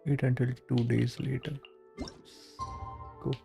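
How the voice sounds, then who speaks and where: A man's voice: mid-range voice, little pitch movement, takes it slowly, gravelly. A man giving casual live-stream commentary while playing a game. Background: game music.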